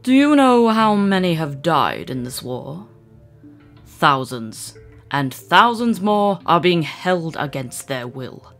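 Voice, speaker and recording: A woman's voice: low-pitched, sing-song, slow, a bit bright, soft background music, full character voice. A woman voicing a stern, imposing female alien gem commander in a dramatic cartoon dub, grave and commanding. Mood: stern, urgent.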